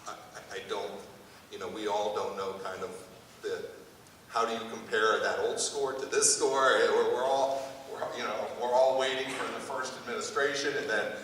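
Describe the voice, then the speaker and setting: An American man speaking as an admissions panelist in a live discussion, halting as he searches for words. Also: lowish voice, fairly even intonation, fairly bright tone. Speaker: college admission officer on a panel. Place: auditorium at a public school event. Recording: very echoey hall, distant mic, faint noise.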